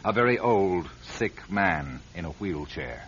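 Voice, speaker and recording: A man narrating a radio drama in a grave, deliberate storytelling manner. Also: low voice, big pitch swings, noisy background. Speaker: narrator and writer-director. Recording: dull lo-fi radio recording.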